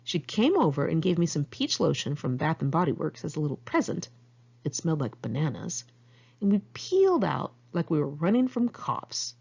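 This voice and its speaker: Expressive, creaky-voiced American woman reading memoir. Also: sits low, normal speed, dull audio. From United States, Los Angeles area. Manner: candid, conversational, expressive, sardonic. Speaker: author reading own memoir. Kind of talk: first-person memoir narration, read aloud in one take.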